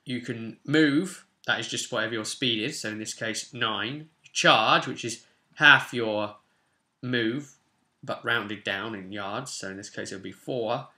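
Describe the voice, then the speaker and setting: A man's voice: mid-range voice, measured pace, bright, forceful tone. A man in his twenties with an English accent, chatting casually to viewers in a tutorial with wry asides. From England. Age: 20s. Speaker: host of tabletop roleplay channel. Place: small home room, indoors.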